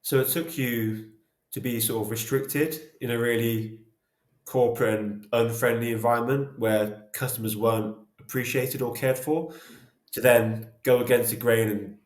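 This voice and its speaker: A man's voice: medium pitch, fairly even intonation, normal speed. A man hosting a podcast interview, asking casual, rambling questions in a friendly way. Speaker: podcast host, co-founder. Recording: echoey room.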